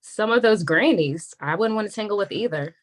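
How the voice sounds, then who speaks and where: A woman playing a wary, frank government attorney in a naturalistic script read, measured and confiding. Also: mid-range voice, animated, close to an everyday voice. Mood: frank, analytical.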